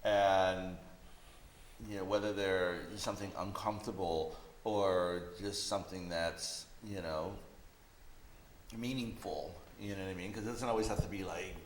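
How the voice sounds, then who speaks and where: Deep-voiced man in a roomy space. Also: fairly even intonation, a little slow. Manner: reflective, peppered with "you know". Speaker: visual artist being interviewed. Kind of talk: casual conversation. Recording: roomy echo, faint background noise.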